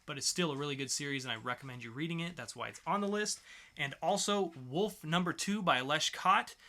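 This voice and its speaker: Bright-voiced, enthusiastic man co-hosting a podcast. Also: on the high side, brisk. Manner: enthusiastic, repeats phrases for emphasis. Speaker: podcast co-host. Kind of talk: casual comic book review chat.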